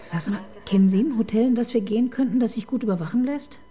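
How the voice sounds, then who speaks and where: A woman playing a brisk resolute inspector. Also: on the high side, dark tone, lightly acted. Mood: urgent. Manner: commanding, brisk, often whispering. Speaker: police detective. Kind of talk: radio crime drama acting.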